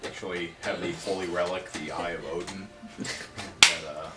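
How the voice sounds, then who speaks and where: A man's voice: low voice, fairly even intonation, normal speed, reverberant, far from the mic, music in the background. A man chatting casually as a player in a tabletop game. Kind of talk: casual chat. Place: indoor room, around a home game table.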